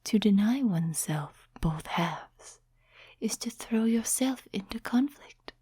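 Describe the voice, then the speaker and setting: A woman voicing an ancient, seductive demon in whispered ASMR roleplay, slow, teasing and condescending, with a breathy voice close to the microphone. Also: mid-range voice, lively intonation, mellow, dry recording, full character voice. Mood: mocking, coaxing. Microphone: close, dry microphone.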